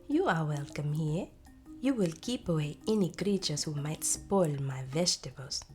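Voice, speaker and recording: A woman voicing a kind, gentle, dignified young woman in a storybook, speaking softly and considerately. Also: deep voice, expressive, moderate pace, soft background music. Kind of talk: storybook character voice.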